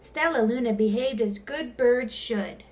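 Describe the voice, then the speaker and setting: A woman reading a children's storybook aloud in an expressive, dramatic way, drawing out words. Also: on the high side, measured pace. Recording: clear background noise.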